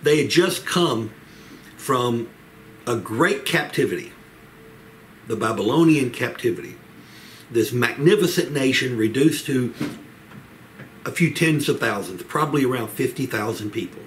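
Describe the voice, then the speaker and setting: An older man gives a Bible lesson like a pastor. His voice sits at an ordinary male pitch, and he speaks earnestly, measuring out phrases with pauses between them. The recording is made in a small room with clear background noise. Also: animated, a bit bright, low-quality recording. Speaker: pastor leading a Bible study. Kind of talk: Bible teaching, expository sermon. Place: small home office, indoors.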